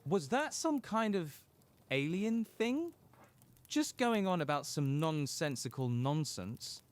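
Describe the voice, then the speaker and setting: Man acting a cheeky, lively-voiced companion. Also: on the high side, slow. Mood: teasing curiosity. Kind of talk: fan audio drama acting.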